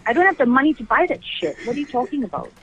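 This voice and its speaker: A woman's voice: sits high, sing-song, very fast, fairly bright tone. A middle-aged woman speaking on a phone call in a firm, questioning manner, over a slightly noisy line. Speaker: prank call target, parent. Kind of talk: phone conversation. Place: on a telephone call.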